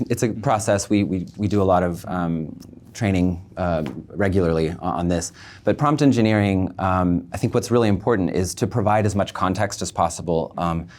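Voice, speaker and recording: Male interview guest, low voice, measured explanatory delivery with thoughtful pauses. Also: a little mellow. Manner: measured, explanatory, often pausing mid-thought. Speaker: guest, embassy public affairs officer. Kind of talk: thoughtful online interview answers.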